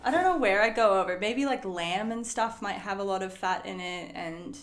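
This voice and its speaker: A woman's voice: mid-range voice, normal speed, roomy sound. A young woman from Sweden who speaks English with an American accent, chatting casually as an interview guest with many fillers. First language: Swedish. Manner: chatty, lots of fillers and likes.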